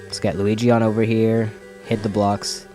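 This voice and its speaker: A man's voice: on the low side, completely flat delivery, normal speed, mellow. A young man with a slight head cold giving casual, rambling let's play commentary, often correcting himself. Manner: rambling, self-correcting, wry. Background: faint game music.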